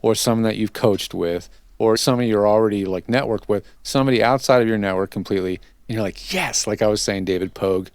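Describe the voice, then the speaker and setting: A man's voice: on the low side, quick pace, few pauses, smooth, dry recording. A man hosting a podcast in casual interview chat, explaining things in a chatty way. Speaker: podcast host and audio tech interviewer.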